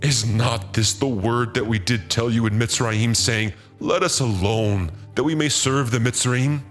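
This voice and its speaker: A man's voice: mid-range voice, a little slow, a bit bright, soft background music, natural voice. A man playing an aggrieved ancient man in a dramatized Bible story, protesting in an emphatic, complaining way. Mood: fearful complaint. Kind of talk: dramatized scripture reading.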